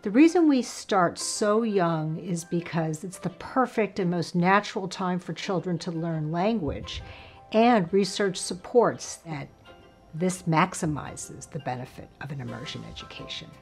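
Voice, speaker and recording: A woman's voice: lowish voice, animated, a little slow, soft background music. A woman speaking as a school leader in a promotional interview, polished and articulate, sounding proud.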